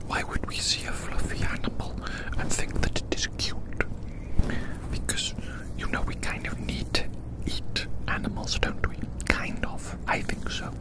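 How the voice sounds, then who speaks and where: Casting: ASMR whisperer, breathy and unvoiced, close-miked outdoors, whimsical rambling musings with soothing repetition. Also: rough recording. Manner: repeats phrases slowly and soothingly. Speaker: ASMR performer. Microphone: close microphone.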